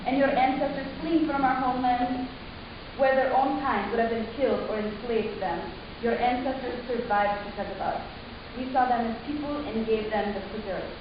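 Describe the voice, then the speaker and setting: A woman playing a composed, protective alien matriarch in a dramatic story reading, speaking in a measured, formal, persuasive way. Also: fairly high pitch, natural voice. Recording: distant, very echoey room, muffled, hissy.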